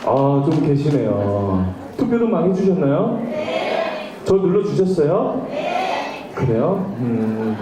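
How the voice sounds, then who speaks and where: Lively, higher-pitched man on a concert stage. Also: takes it slowly, long pauses, far from the mic, background noise. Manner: chatty, bantering with the crowd, frequent fillers. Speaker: trot singer performing on stage. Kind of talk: stage patter with a live audience. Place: large concert hall stage before about two thousand people. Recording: echoey hall with backing music.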